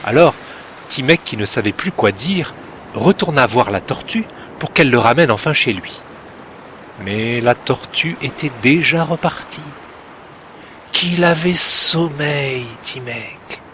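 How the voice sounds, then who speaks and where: A male storyteller reading a children's tale slowly, letting each phrase breathe. His voice is fairly high for a man and swings widely in pitch with lively expression. A faint hum of background noise sits under the narration. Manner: slow, expressive and gentle.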